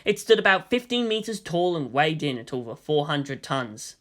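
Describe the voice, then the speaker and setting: A woman's voice: deep voice, big pitch swings, moderate pace. A woman reading scripted story narration as the narrator. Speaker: narrator of fan fiction reading.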